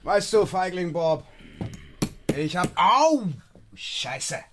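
A man's voice: high voice, big pitch swings, drawn-out words, background noise, full character voice. A man playing a familiar, drawling Wild West outlaw in a parodic radio play. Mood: pained, indignant.

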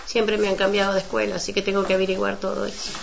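Una mujer con acento argentino interpreta a una vecina práctica y conversadora en un radioteatro comunitario. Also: female voice, mid-range voice, completely flat delivery, rapid-fire, gravelly, background noise, natural voice. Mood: practical. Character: chatty neighbour woman, practical, keen gardener. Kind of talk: amateur community radio play, casual chat. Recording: muffled recording with chattering voices behind.

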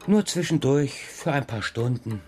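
A man acting a restless, playful father in a children's radio play, musing and gently evasive as he banters. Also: mid-range voice, expressive, measured pace, close to an everyday voice. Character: restless, playful father talking with his young daughter. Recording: studio radio play with music.

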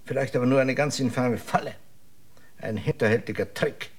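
Husky, grave male dissident. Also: medium pitch. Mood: earnest, cautioning. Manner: measured, deliberate, serious. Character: grave, cautious male dissident scientist planning escape. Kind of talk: German radio drama acting.

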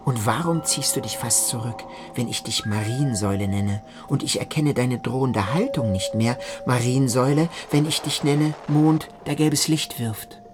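A man's voice: medium pitch, normal speed, hardly any pauses. Male literary narrator over music. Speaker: first-person narrator in a radio play. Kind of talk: literary radio drama narration. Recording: music behind the voice.

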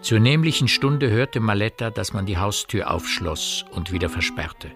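An actor as a measured narrator, delivering scripted literary narration in a radio drama. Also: male voice, lowish voice, expressive, music in the background.

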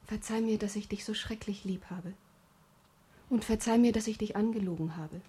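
A young woman in love played by an actress in a radio crime play. Her voice is mid-pitched and very breathy, intimate and emotional, with a coy, headstrong streak. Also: moderate pace, mellow, lightly acted. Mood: intimate, tender. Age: young adult. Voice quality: breathy, often near whispering. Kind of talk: German radio crime drama acting.

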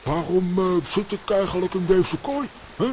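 An actor playing a cheeky, stubborn comic adventurer in a children's radio play, with noise behind him. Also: male voice, high voice, moderate pace, a little mellow, natural voice. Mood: rueful.

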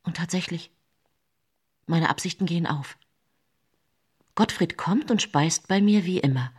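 An actress playing a scheming woman poisoner with a husky voice, narrating her own story in a radio play. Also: fairly low pitch, measured pace, a little mellow. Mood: smug, controlled. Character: scheming woman poisoner, outwardly caring, coldly calculating. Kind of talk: dramatic first-person radio play narration.

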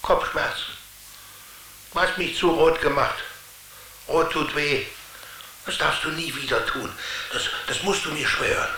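An actor playing a touchy, talkative television character in a children's radio play, explaining things theatrically. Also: male voice, on the high side, a little slow, gravelly, pauses often, bright, forceful tone, echoey room, slight background noise, exaggerated voice, low-quality recording. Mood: aggrieved. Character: touchy, talkative male TV character living inside the screen.

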